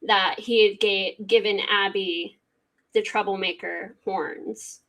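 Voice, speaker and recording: A woman's voice: medium pitch, steady tone, slow pace, a bit bright, low-quality recording. A woman hosting a casual true-crime talk show, reading a court affidavit aloud and commenting on it in a chatty way. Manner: chatty, reads aloud then comments with swearing asides. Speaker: podcast host.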